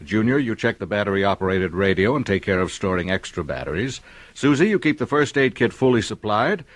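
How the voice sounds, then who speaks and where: An actor playing an orderly, fatherly family man in a mid-century public service radio drama, brisk and paternal. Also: lowish voice, sing-song, no room sound. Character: orderly, fatherly 1950s family man instructing his household.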